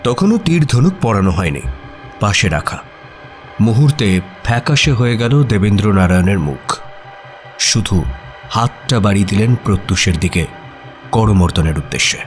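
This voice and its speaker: Man playing an animated, observant mystery narrator. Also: fairly low pitch, normal speed, pauses often, soft background music, close to an everyday voice. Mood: observant, suspenseful. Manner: expressive, suspenseful storytelling. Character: male detective's companion narrating a mystery, observant and engaged. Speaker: story narrator. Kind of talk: dramatic scripted audio story narration.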